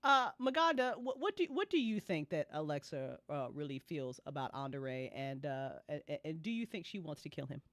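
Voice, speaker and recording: A woman's voice: low voice, big pitch swings, normal speed, hardly any pauses, no room sound. A woman hosting a casual after-show chat, playful and gushing, repeating phrases for emphasis as she questions her guests. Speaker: host and show creator.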